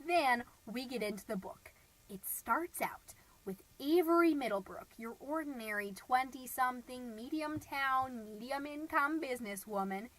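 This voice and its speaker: A woman's voice: sits high, animated, moderate pace, fairly bright tone, low-quality recording. A woman playing a chirpy, gossipy suburban stay-at-home mom who hosts a homemade comedy podcast in a folksy, rambling, self-satisfied way. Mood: gossipy, earnest. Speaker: podcast host.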